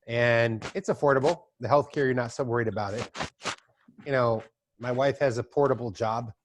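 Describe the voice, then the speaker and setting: A man's voice: medium pitch, steady tone, moderate pace, noisy background. A middle-aged man hosting a podcast, chatting casually and telling loose stories with asides. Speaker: podcast host. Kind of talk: casual conversation.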